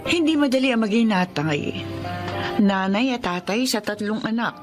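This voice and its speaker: A woman's voice: mid-range voice, animated, normal speed, loud music behind the voice, close to an everyday voice. A woman in a radio drama who plays and narrates a former laundrywoman, polite and pleading.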